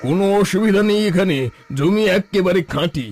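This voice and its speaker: A man voicing a scheming village headman in a cartoon, talking in a wheedling, flattering way. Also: high-pitched, normal speed. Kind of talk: Bengali cartoon dubbing.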